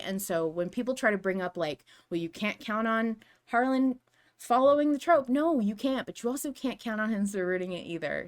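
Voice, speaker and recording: A woman hosting a podcast, talking through fan analysis casually in an earnest, emphatic, argumentative manner. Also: mid-range voice. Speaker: podcast host.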